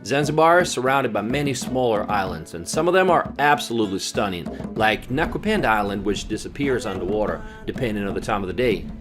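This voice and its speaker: A man narrating a travel vlog in a relaxed, conversational voice-over. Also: on the low side, fairly quick, hardly any pauses, music in the background. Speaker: travel vlogger.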